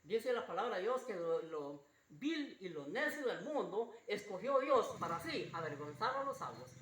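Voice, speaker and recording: A preacher with a slightly husky voice gives an informal Bible sermon in a halting, repetitive, homespun way. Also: mid-range voice, sing-song, fairly bright tone. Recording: roomy echo with faint background noise.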